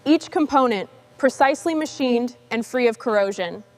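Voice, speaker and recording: A woman's voice: on the high side, lively intonation, normal speed, no echo. A woman giving scripted narration of a restoration job in short statements.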